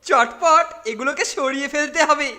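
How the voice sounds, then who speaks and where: A man's voice: very high-pitched, normal speed, over loud music. A man voicing a greedy, sly boy bully who sneers and gloats. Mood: scheming.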